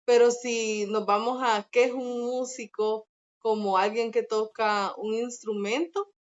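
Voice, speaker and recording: A Salvadoran woman with a fairly high voice speaks slowly and earnestly, thinking aloud about church life. Her voice comes through dull, lo-fi audio. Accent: Salvadoran Spanish. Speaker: podcast guest. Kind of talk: reflective church discussion.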